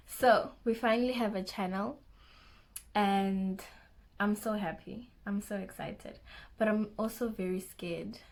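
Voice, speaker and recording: A young woman with a South African accent, chatting casually and a little nervously in her first vlog. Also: mid-range voice. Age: young adult. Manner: nervous, chatty, self-conscious, restarts often. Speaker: vlogger.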